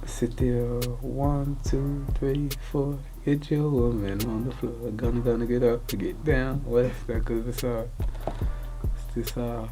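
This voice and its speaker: A young man from Congo answering interview questions casually, loose and relaxed, with lots of fillers. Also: medium pitch, measured pace, music in the background, low-quality recording. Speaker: rapper interviewee.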